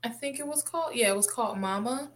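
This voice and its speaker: A woman's voice: fairly high pitch, fast, fairly bright tone. A woman co-hosting a podcast, chatting casually and informally with wry, jokey reactions. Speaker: podcast co-host. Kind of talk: casual chat.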